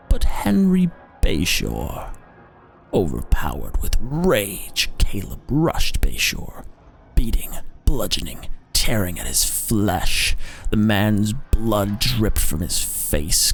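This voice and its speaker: Very deep, gravelly male dramatic narrator. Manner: theatrical, deliberate storytelling with drawn-out emphasis. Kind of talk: dramatic scripted narration of dark lore. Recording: music behind the voice. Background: background music.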